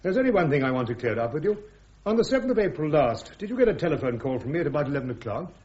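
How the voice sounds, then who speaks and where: Insistent british major in a courtroom radio play, sardonic, really animated, bit muffled. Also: male voice, fairly high pitch, very fast. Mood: pressing, urgent. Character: defiant army major defending himself at court-martial. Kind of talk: radio courtroom drama acting. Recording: dull radio drama recording.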